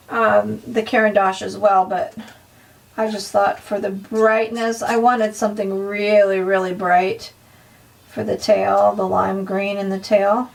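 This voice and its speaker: A woman with a slightly hoarse voice, narrating a casual art tutorial softly and unhurriedly, with frequent ums and pauses. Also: medium pitch, far from the mic, low-quality recording.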